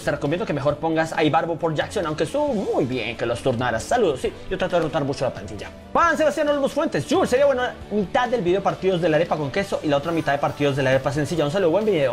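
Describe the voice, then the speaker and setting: Lively young gamer, faint music. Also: male voice, sits high. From Colombia. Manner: animated, keeps addressing viewers as señores. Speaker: gaming YouTuber. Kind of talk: casual gameplay commentary.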